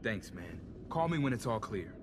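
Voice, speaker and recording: A man's voice: fairly low pitch, very animated, normal speed, background noise. A man playing a savvy, self-interested tech operative in a video game, talking in a wry, slangy, casual way. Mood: businesslike. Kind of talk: video game cutscene acting.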